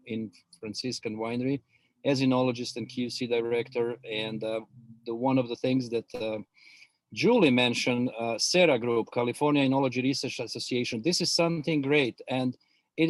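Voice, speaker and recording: A man's voice: mid-range voice, no room sound. A middle-aged man from Croatia with a Croatian accent, talking as a guest expert on a video call, hesitant and full of filler words. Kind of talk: webinar interview, explaining his background.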